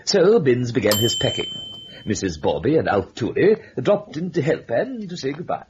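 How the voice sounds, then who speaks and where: An actor playing a gleeful, mocking devil who narrates an old-time radio horror tale in a theatrical, sardonic way. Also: male voice, medium pitch, wildly swooping pitch, normal speed, darkish tone, slight background noise. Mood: mock sympathy. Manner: theatrical, sardonic, chatty with the listener. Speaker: host and storyteller. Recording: dull lo-fi old radio recording, music behind.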